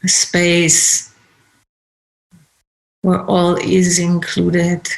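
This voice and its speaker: A woman guides a meditation in a fairly low voice with plenty of gravelly creak. She speaks very slowly, offering short, unhurried instructions and leaving space between phrases. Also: monotone, rough recording.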